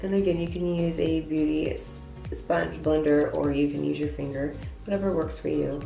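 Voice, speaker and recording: A young woman talks through a makeup routine in a chatty, self-deprecating way, her voice stuffed up with congestion. It sits low and warm, with a gravelly edge. She is a little off-mic in an echoey bathroom, with faint music underneath. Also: fairly even intonation, normal speed. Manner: chatty, self-deprecating, explains each step. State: congested, voice lower than usual. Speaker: beauty vlogger. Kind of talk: casual makeup tutorial. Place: hotel bathroom.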